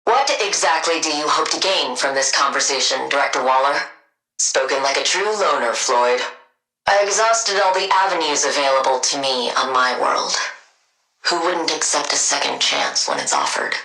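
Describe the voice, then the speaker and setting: A woman playing a cold, sardonic scientist villain in a video game, speaking in a dry, clipped deadpan. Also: sits low, normal speed, echoey room, heavily acted, rough recording. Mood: measured, guarded. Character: cold, sardonic female genius scientist villain devoted to her wife.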